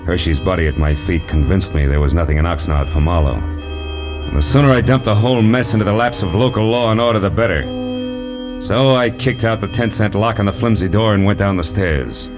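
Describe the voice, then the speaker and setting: An actor playing a tough, wisecracking American private detective in a 1940s radio noir drama, slangy and sardonic. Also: male voice, deep voice, fairly quick, lightly acted. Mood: wry narration. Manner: hard-boiled, slangy, sardonic. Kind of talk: 1940s radio noir acting and first-person narration. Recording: 1940s radio recording with music.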